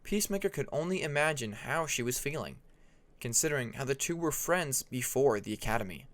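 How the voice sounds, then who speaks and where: A man's voice: medium pitch, expressive, a little fast, a bit bright. A man reading scripted fantasy fiction as an audiobook narrator.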